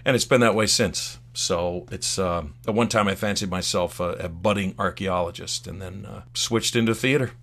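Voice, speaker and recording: A man's voice: sits low, normal speed, gravelly, hardly any pauses, a bit bright. An older man chatting as a podcast guest, with a wry, theatrical and self-mocking way of putting things. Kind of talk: casual humorous interview talk.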